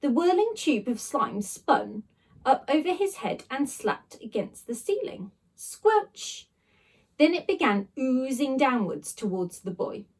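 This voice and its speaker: A woman with a fairly high voice narrates a children's story. Her delivery is dramatic and swooping, leaning hard on sound words, and she is heard a little way from the microphone. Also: big pitch swings, takes it slowly, recorded from a distance. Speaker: teacher reading aloud.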